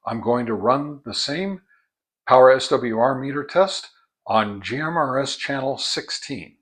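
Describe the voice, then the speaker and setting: A man's voice: medium pitch, a bit bright, breathy edge. A man giving a casual product review and test demonstration, explaining each step methodically as a hobbyist reviewer. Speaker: ham radio product reviewer.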